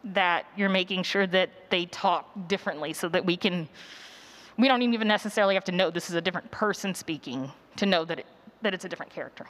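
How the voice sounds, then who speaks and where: A woman's voice: fairly low pitch, fast talker, fairly bright tone. A woman teaching a workshop in a conversational, encouraging way and taking questions from people in a conference room. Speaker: workshop presenter. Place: conference session room with an audience.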